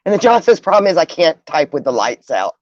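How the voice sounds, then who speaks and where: Female livestream host, middle-aged, lower register, conversational and reflective, slightly muffled lo-fi sound. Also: big pitch swings, brisk, darkish tone, no room sound. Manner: conversational, musing, addresses listeners directly. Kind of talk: casual livestream chat, reading out viewer comments. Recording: dull, dry lo-fi audio.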